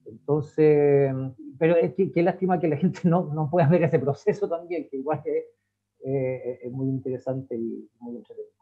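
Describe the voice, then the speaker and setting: A man with a Chilean accent, an actor speaking haltingly and reflectively in an online panel, searching for his words. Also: a little high, slightly rough. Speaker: actor in a theatre company. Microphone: video call. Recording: thin, phone-like video call audio.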